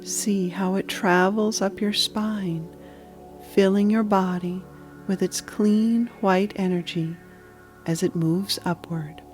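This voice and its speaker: Woman leading a guided meditation, really slow and soothing, lots of pauses, music playing behind her. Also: medium pitch, steady tone, darkish tone.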